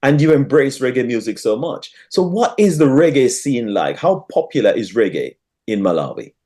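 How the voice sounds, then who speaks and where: Very animated Jamaican male interviewer. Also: mid-range voice, moderate pace. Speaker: interview show host. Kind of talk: conversational interviewing.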